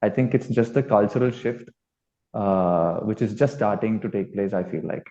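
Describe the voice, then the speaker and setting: A man's voice: fairly low pitch, normal speed, warm tone. A man giving casual, tentative interview answers as a band musician, on slightly dull audio. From South India. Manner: tentative, thinking aloud.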